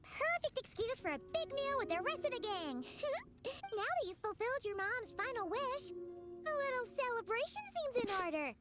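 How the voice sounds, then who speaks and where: Tiny squeaky game fairy, super high and bouncy, loud music behind. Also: female voice, very animated, moderate pace. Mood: cheerful. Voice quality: squeaky, childlike. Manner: perky, refers to herself in third person. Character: Paimon, tiny excitable childlike fairy companion, female. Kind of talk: video game character acting. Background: playful game music.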